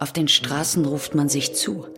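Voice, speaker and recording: A woman with a very deep, gravelly voice narrates a poetic radio play. Her delivery is solemn and incantatory, often dropping to a breathy half-whisper, and music plays clearly behind her. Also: normal speed.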